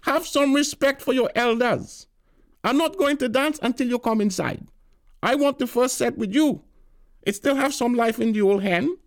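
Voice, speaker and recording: A man voicing a loud, bossy elderly island woman who scolds and shrieks. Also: normal speed. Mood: indignant. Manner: shrill, brash, scolding.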